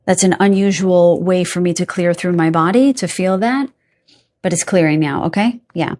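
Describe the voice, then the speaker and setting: A woman with a fairly low voice delivers a psychic card reading straight to her viewers. She drifts from thought to thought, keeps checking in with "okay?", and stops now and then to hunt for a word. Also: darkish tone. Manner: meandering, reassuring, checks in with listeners; pauses to search for words. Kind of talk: spirit guide reading to camera.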